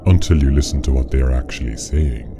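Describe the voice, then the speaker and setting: A man playing a menacing, stubborn imp in a radio play, speaking in a clipped, formal, deadpan way. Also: a bass voice, fast, creaky, background noise. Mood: dry, sly. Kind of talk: short radio play acting. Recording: music behind the voice.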